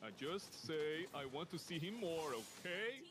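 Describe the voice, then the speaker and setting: Animated esports analyst, broken English. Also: male voice, on the high side, a little slow. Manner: non-native English with broken grammar, animated and emphatic. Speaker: esports color commentator. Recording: game music behind the voice.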